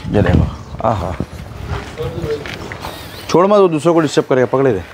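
A man hosting a casual vlog at an outdoor livestock farm, his voice at an everyday middle pitch. He talks easily and keeps asking follow-up questions. Faint outdoor noise sits under the voice. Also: sing-song, normal speed. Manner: chatty, asks many follow-up questions. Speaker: vlogger touring a livestock farm. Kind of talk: casual on-location vlog chat. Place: outdoor goat farm yard.

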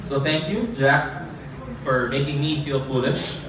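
A young man performing spoken word poetry live, earnest and deliberate, with dramatic pauses. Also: mid-range voice, fairly even intonation. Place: small live open-mic event. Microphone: handheld and stand microphone, heard from a distant camera. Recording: distant, very echoey, noisy live recording.

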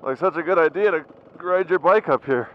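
A young man chatting casually in slang, with an excitable, playful manner. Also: a little high, brisk. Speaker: dirt bike rider and vlogger. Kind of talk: casual chat. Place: garage and outdoor dirt trails. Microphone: action camera. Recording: muffled, loud engine and wind noise.

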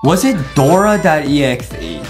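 An animated young man hosts with jokey, slangy excitement. His pitch swings around freely and he repeats himself for fun. Music plays clearly behind his voice. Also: moderate pace. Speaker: host of a reaction and guessing game video. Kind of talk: excitable casual commentary for kids.

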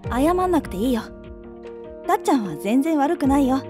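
A woman's voice: sits high, lively intonation, normal speed. A woman voicing a female pet cat who is frank and teasing but devoted, in an audio drama. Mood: tender. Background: soft background music.

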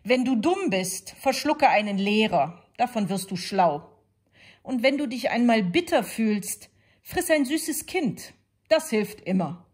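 A woman's voice: a little high, animated, slow, a little mellow, husky, pitched above the performer's own voice, full character voice. A woman voicing an old female wolf grandmother handing out advice in a storybook. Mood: advising. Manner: proverb-like, instructive.

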